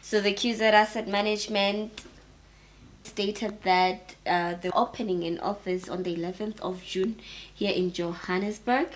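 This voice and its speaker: A woman's voice: mid-range voice, fairly even intonation, measured pace, slight background noise. Earnest woman co-host in casual chat. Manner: earnest, agreeable, laughs often.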